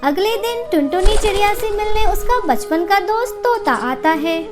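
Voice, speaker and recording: A woman's voice: very high-pitched, expressive, normal speed, over loud music. A woman reading scripted narration for a children's story.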